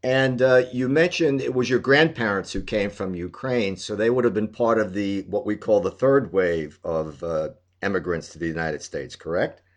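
A man interviewing a guest, asking long, measured questions with hesitant fillers. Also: lowish voice. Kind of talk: casual conversational interview.

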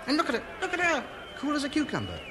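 Pompous, hapless boss, swooping wildly. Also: male voice, extremely high pitch, wildly swooping pitch, rapid-fire, crowd noise, heavily acted. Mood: wry relief. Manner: theatrical, indignant, grovelling when beaten. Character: pompous, hapless, exasperated male employer, easily cowed. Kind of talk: 1950s radio sitcom acting. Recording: noisy old radio recording.

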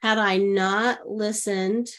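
A middle-aged woman from Oklahoma tells her story as a podcast guest. She is animated and acts out the voices of the people she quotes. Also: medium pitch, slow. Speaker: podcast guest, leadership coach. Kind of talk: storytelling interview.

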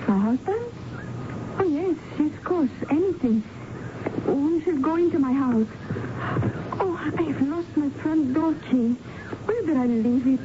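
High-voiced flustered widow. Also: female voice, lively intonation, unhurried, pauses often. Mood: flustered, polite. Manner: flustered, vague, formal foreign phrasing. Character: flustered, forgetful Mexican widow, evasively polite. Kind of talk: radio drama acting. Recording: dull, noisy 1970s radio broadcast recording.

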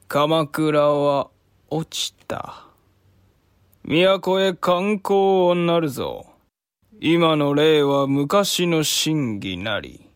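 A man's voice: on the high side, creaky, a bit bright, no room sound, clean studio sound, exaggerated voice. A male actor playing an imperious medieval Japanese emperor, grave and stately, in a period audio drama. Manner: grave, stately, pronouncing each phrase.

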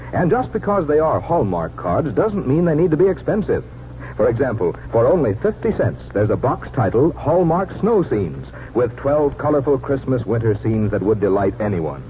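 An American man announcing and reading a sponsor's advertisement on 1940s radio, polished, deliberate and persuasive. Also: mid-range voice. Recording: muffled, faintly noisy 1940s radio broadcast.

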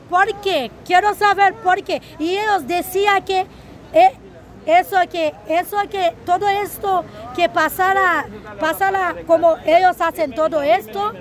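A young woman from Haiti with a very high voice speaks haltingly, searching for her words in a language that is not her first. She records a voice message outdoors, with a faint murmur of people around her. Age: young adult. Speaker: migrant giving testimony. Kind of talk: recorded personal testimony. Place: outdoors in a town near a border.

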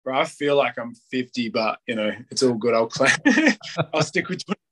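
A man's voice: medium pitch, big pitch swings, moderate pace, fairly bright tone. A man, chatting casually on a podcast, rambling with lots of "like" and acting out little quotes. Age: late 20s. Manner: rambling, heavy use of "like", acts out quotes.

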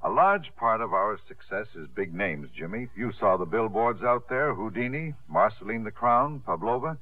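An actor playing a pragmatic, courteous theater manager in a 1950s radio drama, with a measured, businesslike manner. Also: male voice, on the low side, lively intonation. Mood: courteous, doubtful. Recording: muffled narrow-band old radio, music behind.

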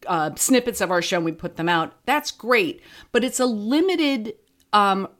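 A woman with a medium-pitched voice talks casually as an interview guest. Her intonation is very lively, and she tells stories in an animated way, voicing her own past thoughts. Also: moderate pace. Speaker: podcast creator being interviewed.

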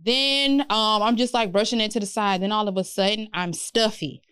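A woman's voice: medium pitch, steady tone, moderate pace, fairly bright tone, clear, resonant tone, no room sound, studio quality. A young woman from Houston, a stuffy nose and a candid, rambling way of telling a story, chatting with her podcast co-hosts. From Houston, Texas. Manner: candid storytelling, lots of "like" and "literally". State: stuffy, congested. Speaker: podcast co-host. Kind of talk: casual chat.

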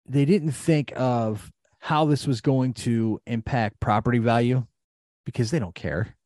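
A man's voice: medium pitch, a little slow, a little mellow, no room sound, clean studio sound. A middle-aged American man giving an impassioned personal account, blunt and emphatic, repeating phrases and swearing. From Ohio, United States.

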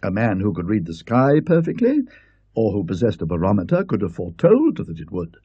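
A man's voice: fairly low pitch, huge pitch swings, a little fast, dark tone, husky, no echo, exaggerated voice. A man doing a prim, fussy skeptic professor. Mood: lecturing. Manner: precise, fussy, slightly hesitant. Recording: dull lo-fi recording.

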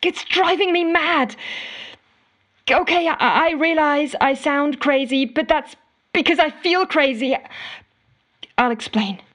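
A woman's voice: sits high, slow pace, pauses often, a bit bright. An actress playing an exasperated, frantic office worker, telling her woes melodramatically in an audio drama voicemail monologue. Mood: desperate, frantic. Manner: melodramatic, indignant storytelling with sarcastic quoting. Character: exasperated, frantic office woman ranting to a colleague.

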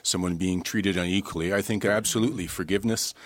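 A man with a fairly low voice, recorded close and dry. He speaks as a talk radio guest, offering opinions in a conversational but emphatic, plain-spoken manner. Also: fairly even intonation, quick pace, dry recording. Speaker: studio guest commentator on a talk radio show.

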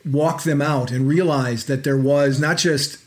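A man's voice: a little high, steady tone, measured pace. A man interviewing a guest, asking questions and offering brief "right" acknowledgements.

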